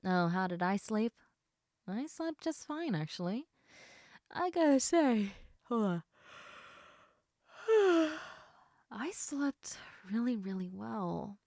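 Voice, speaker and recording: A sleepy lamia girl, played by a woman speaks slowly in a slightly low female voice. She rambles casually, teasing and affectionate, as in an intimate roleplay audio. The sound is dry and close, with no room around it. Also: a little mellow, no echo.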